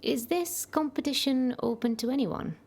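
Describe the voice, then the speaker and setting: A woman's voice: high-pitched, normal speed, vocal fry, a little mellow, husky, exaggerated voice. A woman playing a breezy, self-assured, gushing visiting aunt in a radio soap.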